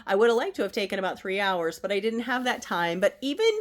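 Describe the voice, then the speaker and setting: A middle-aged woman speaks gently and soothingly from home, leading an oracle card reading. Her voice is congested and nasal from a head cold, and she lets her words stretch out slowly. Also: mid-range voice, lively intonation. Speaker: oracle card reader, channel host. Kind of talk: casual spiritual card reading. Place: at home, indoors.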